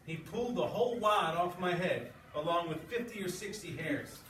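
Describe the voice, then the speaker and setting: A man's voice: sits high, lively intonation, normal speed, a bit bright. A man teaching English to sixth graders, reading aloud and leading class discussion in an informal way, often checking with his listeners. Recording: echoey, noisy classroom, distant mic.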